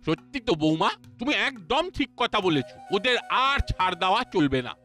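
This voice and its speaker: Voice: medium pitch, very animated, fairly quick, pushed and bright, faint music behind. An actor voicing a strict elderly man, a stern disciplinarian in a cartoon. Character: strict old grandfatherly uncle, stern disciplinarian.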